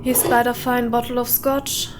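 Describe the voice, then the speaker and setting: A woman narrating a short story in a deliberate way with dramatic pauses. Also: fairly high pitch, level tone. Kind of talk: scripted narration, atmospheric storytelling. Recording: windy howling ambience behind voice. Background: added wind or howling ambience.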